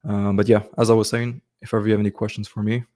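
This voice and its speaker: Give me a man with a deep, warm voice hosting a casual live Q&A. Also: little pitch movement, fast talker. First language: French. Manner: casual, repeats "okay" and "bro", frequent restarts. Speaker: host coaching online business. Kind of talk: live stream Q&A, casual advice.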